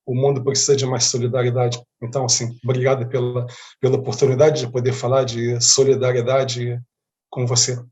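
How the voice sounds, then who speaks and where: A man's voice: medium pitch, monotone, brisk, a little mellow. Male, Brazilian accent, middle register. Interview guest, earnest and conversational, uses repetition for emphasis. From born in Rio de Janeiro, Brazil, feels Bahian. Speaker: interview guest, humanitarian diplomat.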